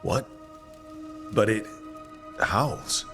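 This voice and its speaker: An actor playing an earnest, weary but determined team leader in a video game, plain-spoken and serious. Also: male voice, lowish voice, drawn-out words, bright, forceful tone, faint music behind, heavily acted.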